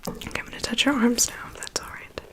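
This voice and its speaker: A woman playing a calm, prying vampire's assistant in an ASMR roleplay, murmuring dry asides and reassurance in a breathy whisper. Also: fast talker, lightly acted. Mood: dry, nonchalant. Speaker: ASMR performer. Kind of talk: ASMR roleplay, personal attention.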